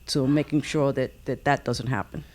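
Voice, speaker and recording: A woman giving a reflective comment as an audience member, with halting, deliberate phrasing. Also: very deep voice, darkish tone, slight background noise.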